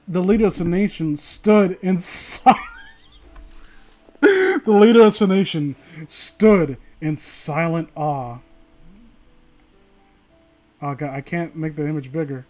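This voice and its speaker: A man with a notably high voice reads aloud to a livestream in a loose, informal way. He rambles, swears freely and often drops a sentence halfway to add mocking commentary. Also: expressive, takes it slowly, long pauses, a little mellow, soft background music. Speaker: streamer reading stories aloud to viewers.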